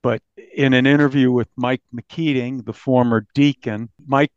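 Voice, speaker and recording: A man's voice: mid-range voice, steady tone, measured pace, smooth, no echo. American male reporter, dull close recording. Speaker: investigative newspaper reporter, interviewee. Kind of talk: conversational account of reporting in an interview.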